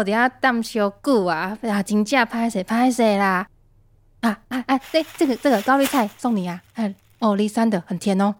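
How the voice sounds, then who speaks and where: A woman's voice: fairly high pitch, no room sound, lightly acted. Woman playing a gushing Taiwanese tourist guest. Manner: effusive, repetitive. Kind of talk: comedic audio drama acting.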